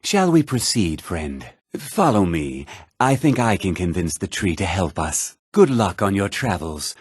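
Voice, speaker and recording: A man's voice: low voice, sing-song, a little slow, husky, no room sound, studio quality, exaggerated voice. A man playing a devout, earnest pilgrim who worships nature, speaking florid, theatrical video game dialogue. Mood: hopeful, gentle.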